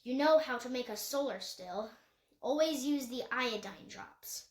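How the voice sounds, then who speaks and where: A cautious, practical woman guardian from a storybook, as a woman reader voices her, speaks carefully and instructively. Her voice is fairly high, with plenty of room echo. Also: a little slow. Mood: cautioning. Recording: echoey room.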